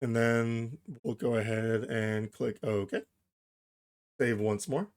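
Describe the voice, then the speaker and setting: A man narrates a software tutorial in a casual, informal way. He walks through things step by step, thinking aloud as he works. His voice sits at a middle pitch. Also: fairly even intonation, takes it slowly. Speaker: tutorial host.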